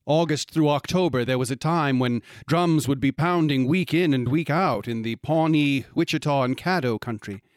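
A man's voice: fairly high pitch, dry recording, natural voice. Man playing a grave storyteller, very dry sound. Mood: grave, expository. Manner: measured, storytelling, ominous. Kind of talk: radio drama acting.

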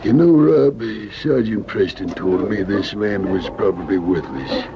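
An actor playing a discouraged, humble old gold miner in a radio drama, speaking hesitantly in plain folksy words. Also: male voice, low-pitched, expressive, a little slow, noisy background. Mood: dejected. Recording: muffled old radio recording, background crowd.

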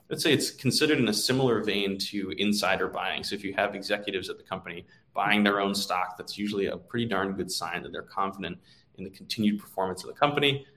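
A man with a fairly low voice speaks as co-host of a market show. He delivers conversational financial commentary, explaining things as he goes. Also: sharp tone.

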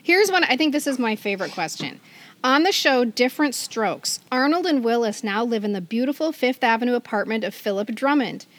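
A middle-aged woman with a high voice co-hosts a casual podcast. She plays quizmaster in an animated, joking way, keeping the delivery playful and conversational. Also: a little fast.

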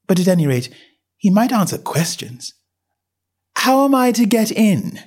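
A man's voice: high-pitched, very animated, measured pace, darkish tone, breathy edge, voice pushed up, full character voice. A man voicing a polite, curious young girl who grows exasperated. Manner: polite, earnest, getting exasperated.

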